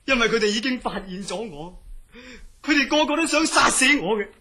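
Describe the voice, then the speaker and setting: A man's voice: very high-pitched, expressive, fairly quick, sharp tone, heavily acted. A man plays a terrified mental patient in a horror radio play. He pleads in an overwrought way, haunted by dread, with a Hong Kong Cantonese accent. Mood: fearful, subdued. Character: terrified male mental hospital patient dreading his nightmares.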